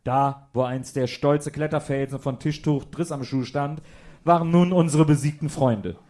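A man's voice: on the high side, moderate pace, darkish tone. A man giving dramatic scripted narration for an audio play.

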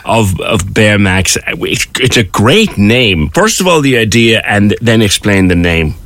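A man's voice: on the low side, animated, unhurried, fairly bright tone. A man, chatting encouragingly as he hosts a conversational interview. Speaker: radio-style interview host.

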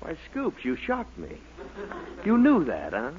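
An actor playing a wry, politely exasperated newspaper editor in a 1940s radio comedy, with theatrical delivery. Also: male voice, a little high, wildly swooping pitch, measured pace, darkish tone. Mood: flustered. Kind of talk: 1940s radio sitcom acting. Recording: noisy, dull 1940s radio recording, studio audience.

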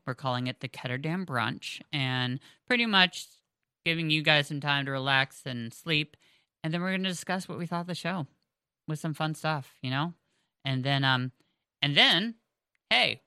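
A woman's voice: low-pitched, lively intonation, normal speed, bright, forceful tone, clear, resonant tone, no room sound, studio quality. A woman, co-hosting a podcast in a chatty, rambling way with fillers and restarts. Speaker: podcast co-host. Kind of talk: casual podcast chat. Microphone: headphones on, close mic.

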